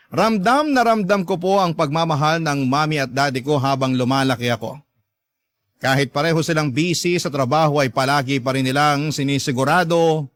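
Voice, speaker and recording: A Filipino man hosts a radio story program, reading a letter with earnest, sentimental drama. His voice is a little high for a man and sounds close and dry. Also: fairly bright tone, dry recording.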